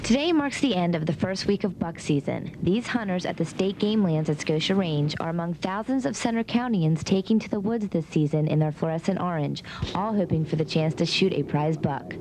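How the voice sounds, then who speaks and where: A young woman with a slightly low voice narrates a scripted television news report. Clear rumbling noise sits under her voice. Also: brisk, darkish tone, rough recording. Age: young adult.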